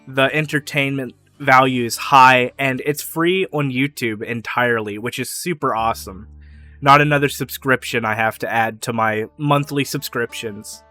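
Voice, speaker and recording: A young man talks through fan theories on his own channel, loose and rambling, with a bright, forward tone. His voice is getting a little hoarse from talking so long. Soft guitar plays faintly behind him. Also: medium pitch. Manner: rambling, enthusiastic, with fillers and small laughs. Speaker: YouTube theory channel host. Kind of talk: casual solo theory talk to camera.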